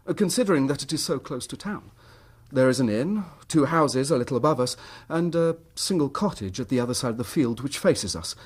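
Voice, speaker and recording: A man's voice: on the high side, slightly rough. An actor playing an earnest, troubled middle-class English husband telling his story in formal period language for a radio drama.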